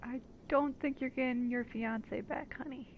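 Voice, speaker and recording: A woman's voice: sits high, steady tone, moderate pace, narrow, tinny audio, a little hiss or hum. A woman giving casual commentary as she plays a game, blunt and sarcastic. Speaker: gamer reacting to a game.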